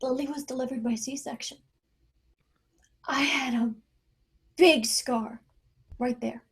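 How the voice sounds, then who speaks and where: A woman playing a shaken but determined mother in a screenplay table read, speaking in an urgent, probing, halting way. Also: sits high, steady tone. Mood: shaken, insistent. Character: Sue, shaken, determined mother hunting for her daughter.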